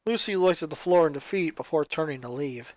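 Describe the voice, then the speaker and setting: A man's voice: fairly high pitch, lively intonation, a little fast, dry recording. A man reading fan fiction as a dramatic, scripted story narration.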